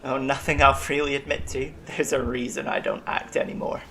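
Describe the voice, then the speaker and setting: Casting: eccentric alien medic, sci-fi audio drama, gravelly edge, reflective and worldly, faint ambient noise behind. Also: mid-range voice, flat delivery, brisk, sharp tone. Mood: wistful, wry. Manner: reflective, wryly self-deprecating.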